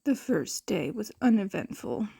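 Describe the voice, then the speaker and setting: A woman's voice: mid-range voice, animated, measured pace, vocal fry, dark tone, slightly rough. A woman reading a scripted fan fiction story aloud as its narrator.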